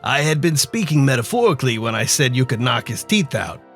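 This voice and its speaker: A man playing a measured, dryly formal and menacing crime boss in an old-time detective radio drama. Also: medium pitch, very animated, soft background music. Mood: cool, businesslike.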